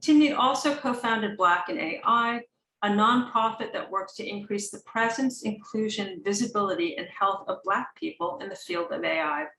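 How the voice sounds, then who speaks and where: A woman hosting an academic event, introducing keynote speakers and reading their bios with halting pauses. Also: mid-range voice, pushed and bright, echoey room, slight background noise, rough recording. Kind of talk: formal introduction read aloud.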